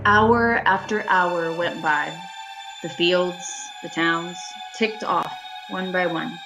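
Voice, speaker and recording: A woman's voice: fairly low pitch, steady tone, slow, poor audio. A woman playing an uneasy, haunted motorist driving alone, telling her story dramatically in an amateur radio thriller. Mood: haunted, dread. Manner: dramatic first-person storytelling. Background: music.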